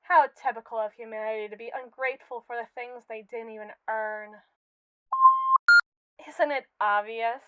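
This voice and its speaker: A woman's voice: fairly high pitch, steady tone, normal speed, muffled recording, close to an everyday voice. A woman voicing a haughty, manipulative captive robot in a scripted ASMR roleplay, speaking in a pompous, wordy, theatrically indignant way. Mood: haughty, boastful.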